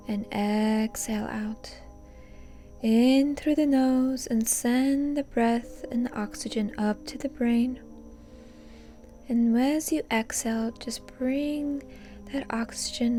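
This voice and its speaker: A woman leading a guided meditation in a soft, breathy, often near-whispered voice, calm and gently instructing. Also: on the high side. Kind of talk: soothing guided meditation narration.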